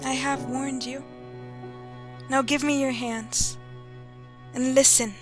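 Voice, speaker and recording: An actress playing a calm, mysterious spirit medium who speaks in a measured, ominous way in a vintage-style radio drama. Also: female voice, sits high. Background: music.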